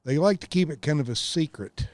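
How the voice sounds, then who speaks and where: A man's voice: a little high, expressive, fairly quick, a little mellow, no echo. A man, co-hosting a podcast in rambling casual chat and telling easygoing stories, recorded outdoors on a lakeside tower. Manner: easygoing storyteller. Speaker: podcast co-host.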